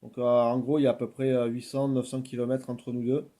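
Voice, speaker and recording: A man's voice: medium pitch, level tone, brisk, warm tone. A man working as an amateur radio operator, chatting casually and repeating himself with frequent tag words. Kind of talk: casual radio contact chat.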